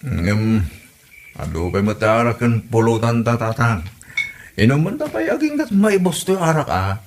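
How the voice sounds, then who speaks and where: A man's voice: medium pitch, very animated, normal speed, lightly acted. A man playing a jovial, coaxing Filipino son-in-law in a comedy audio drama, with an Ilocano accent. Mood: cheerful, persuasive. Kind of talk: hobby comedy radio drama acting. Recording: noticeable background noise.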